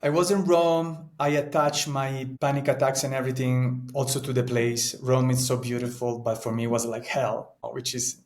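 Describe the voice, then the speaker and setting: A man's voice: medium pitch, little pitch movement, normal speed, few pauses. A man in his thirties from Italy, speaking English with an Italian accent as an interview guest, earnest and reflective, often restarting his sentences. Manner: earnest, searching for words, frequent restarts and "no?" tags. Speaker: guest, actor and painter.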